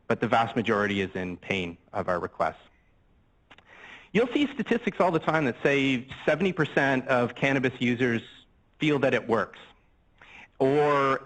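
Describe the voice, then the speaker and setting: A man's voice: medium pitch, a bit bright, low-quality recording. An older Canadian man, a physician lecturing on a microphone, explaining things casually with everyday examples and asides. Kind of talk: conversational medical lecture. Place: lecture room. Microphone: handheld mic, swapped mid-talk.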